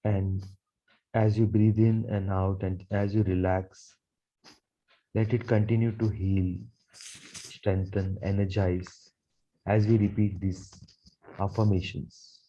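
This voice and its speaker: Slow, low, mellow man guiding meditation. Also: level tone, dull audio, a little hiss or hum. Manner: soothing, measured, repetitive phrasing. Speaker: guided meditation leader, Reiki teacher. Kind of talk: guided meditation narration.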